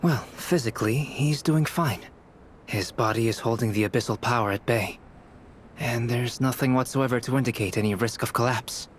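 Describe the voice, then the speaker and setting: An actor playing a calm, scholarly young male alchemist in a game, explaining in a measured, analytical way. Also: mid-range voice, a little hiss or hum, lightly acted. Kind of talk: game cutscene voice acting. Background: background music.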